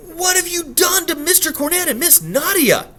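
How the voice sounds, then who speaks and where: A man reading a story voices a young kitchen girl with a put-on English country bumpkin accent, crying out in a high, tearful, accusing voice. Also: animated, normal speed, bright, forceful tone, exaggerated voice. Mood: shrill accusing.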